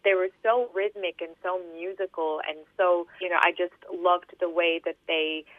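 A woman's voice: on the low side, dry recording. Australian woman poet over a phone line. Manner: hesitant, many fillers and restarts in talk; steady, measured recitation when reading poems. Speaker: poet, interview guest. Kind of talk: interview answers and poetry reading. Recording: tinny phone-line sound.

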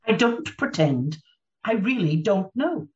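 A woman playing a guarded, troubled agency chief held captive, speaking carefully and with measured hesitation in a radio drama. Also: mid-range voice, sing-song, dull audio. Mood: guarded, defensive. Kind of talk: read radio drama dialogue.

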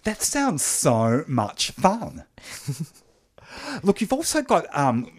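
A woman's voice: sits low, wildly swooping pitch, drawn-out words, breathy edge. A woman hosting a conversational radio interview in a studio. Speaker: radio host.

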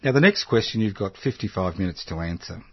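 Older man, radio host, very deep, husky, dull lo-fi sound. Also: fast talker, dry recording. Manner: chatty, jokey interviewer with lively rises. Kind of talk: casual radio chat.

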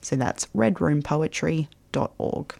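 Radio host, gravelly, dry close mic, brisk sign-off. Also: mid-range voice, darkish tone, no room sound. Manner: friendly, brisk wrap-up. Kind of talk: radio outro.

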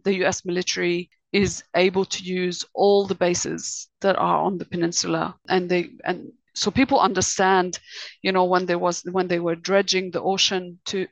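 A woman's voice: lowish voice, dry recording, low-quality recording. A woman from Lebanon in her thirties, a researcher answering interview questions thoughtfully, often restarting her sentences. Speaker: guest researcher. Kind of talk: podcast interview.

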